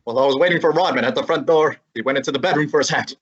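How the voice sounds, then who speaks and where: A wisecracking young male sidekick detective, played by a young student actor, talking tough and slangy at mid pitch with a husky edge. The sound is a little dull and lo-fi, like a school radio play. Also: very fast, natural voice. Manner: brash, slangy tough-guy delivery. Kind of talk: old-time radio drama acting and narration.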